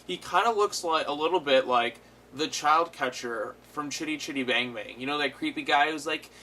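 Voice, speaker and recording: A man's voice: a little high, moderate pace, bright, forceful tone, low-quality recording. A man giving a casual, unscripted episode review as host, retelling it animatedly with frequent swearing. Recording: clear background noise.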